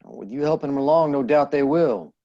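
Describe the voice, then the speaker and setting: A man's voice: fairly high pitch, a little fast, dark tone, lo-fi sound, close to an everyday voice. A man playing a guarded, stern ex-outlaw sheriff who talks tersely in a western table read. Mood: suspicious.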